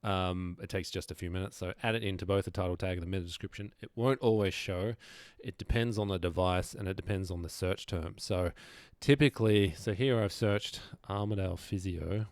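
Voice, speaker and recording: A man's voice: low-pitched, a little fast, darkish tone, dry recording. A man presenting an informal screen-share tutorial, explaining things casually and thinking aloud as he goes.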